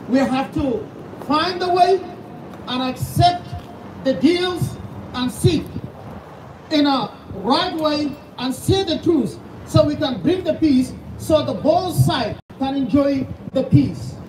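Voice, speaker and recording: A man in his thirties with a Sudanese accent, a pastor giving a fervent rally speech full of rhetorical questions and sometimes shouting. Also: very high voice, lively intonation, slow. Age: 30s. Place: outdoor rally. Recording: distant, echoey outdoor sound with loud background noise.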